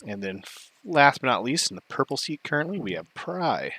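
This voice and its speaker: A man's voice: mid-range voice, normal speed, husky, dry recording. A man hosting a board game tournament stream, introducing players and chatting casually with his viewers. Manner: relaxed, chatty, loose asides to chat.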